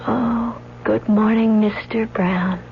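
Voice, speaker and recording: Dull old radio with a faint hum carries a tender young sweetheart. She speaks softly and coyly in a fairly high female voice. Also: fairly even intonation, slow, vocal fry. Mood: playful affection. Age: young adult. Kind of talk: old-time radio drama acting.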